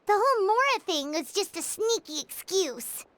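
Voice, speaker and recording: A woman voicing a tiny, excitable, childlike fairy companion in a video game, bubbly and chatty. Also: very high-pitched, moderate pace, dry recording, full character voice. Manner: bubbly, chatty, refers to self in third person. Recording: music behind the voice. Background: game background music.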